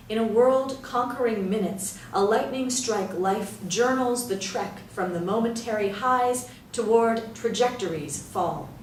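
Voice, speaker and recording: Woman doing a dramatic poetry reading, really slow w/ big pauses, echoey and kinda far from the mic. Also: mid-range voice. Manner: measured, theatrical, with deliberate pauses. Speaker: poetry reader. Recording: roomy echo, distant mic, light noise.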